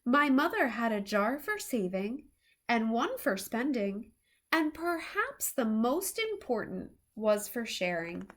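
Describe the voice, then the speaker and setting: A wise storybook schoolteacher, acted out by a woman reader. The voice is high and very animated, with measured, encouraging words for young pupils. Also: full character voice. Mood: thoughtful.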